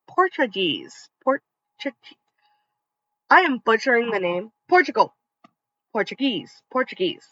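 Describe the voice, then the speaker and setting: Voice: mid-range voice, bright, forceful tone, no room sound. A narrator reading online revenge stories aloud with casual asides, now and then tripping over a word and starting it again. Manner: conversational reading, trips over words and restarts. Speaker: story channel narrator. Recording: dull, slightly lo-fi dry recording.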